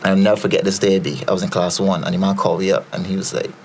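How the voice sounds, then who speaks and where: A man's voice: low voice, flat delivery, very fast, darkish tone, slight background noise. A Barbadian man, a podcast guest, telling stories in a rambling casual way, full of fillers and repeated starts. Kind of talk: casual storytelling chat.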